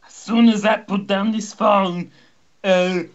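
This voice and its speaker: A man's voice: sits high, steady tone, slow pace, dull audio. A man voicing an impatient male racing team boss in a jumbled mock European accent, in broken English that swears and stumbles. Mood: blustering. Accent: mixed mock European.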